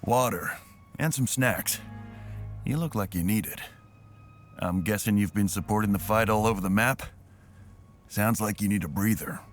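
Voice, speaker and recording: A lowish male voice from an actor plays a practical, caring fighter in a video game. He is steady and plain-spoken, with music underneath. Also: moderate pace, long pauses, close to an everyday voice. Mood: considerate.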